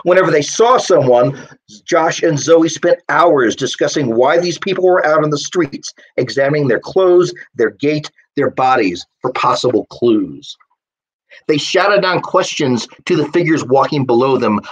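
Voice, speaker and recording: A man's voice: medium pitch, expressive, a little slow, low-quality recording. A man reading his own short story live, as an author narrating fiction with bits of dialogue.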